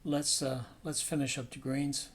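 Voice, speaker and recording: A man's voice: mid-range voice, normal speed. A middle-aged man giving a casual painting demonstration as an instructor, rambling and thinking aloud. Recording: clear steady background noise.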